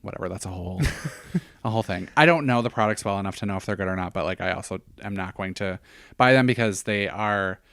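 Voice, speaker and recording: Chatty low-voiced man with a slight lisp. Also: quick pace, a bit bright, smooth, no echo, studio quality. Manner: chatty, rambling with many fillers. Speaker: podcast co-host; professional hair stylist. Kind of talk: casual chat.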